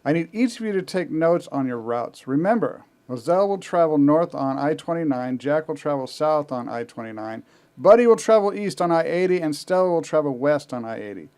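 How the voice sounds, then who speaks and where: A man's voice: on the high side, expressive, fairly quick, a little mellow, smooth. A man reading the part of a stern male commander who briefs scouts by radio, giving formal orders with dry sarcasm. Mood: commanding. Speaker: podcast co-host.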